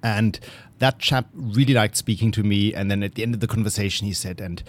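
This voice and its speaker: German-accented man telling stories, low voice. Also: a little fast. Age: middle-aged. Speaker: guest investor. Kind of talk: conversational interview answers.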